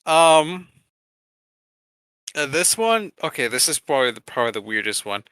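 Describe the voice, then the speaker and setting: Bright, clear-voiced man on a podcast. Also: on the high side, sing-song, moderate pace, no room sound. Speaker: podcast co-host. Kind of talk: casual chat, presenting a countdown list.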